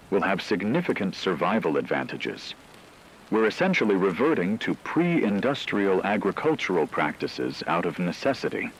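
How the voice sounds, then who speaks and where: A man playing a grave radio anchor, delivering a measured, analytical scripted emergency broadcast. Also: sits low, a little hiss or hum, exaggerated voice, low-quality recording. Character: grave male radio anchor reporting an apocalypse.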